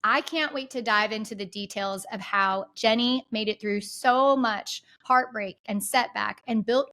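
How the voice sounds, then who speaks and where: A woman's voice: mid-range voice, fairly bright tone. A woman hosting an interview podcast, introducing her guest and asking questions with enthusiasm and lots of casual fillers. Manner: enthusiastic, many fillers like "like" and "you know". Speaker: podcast host.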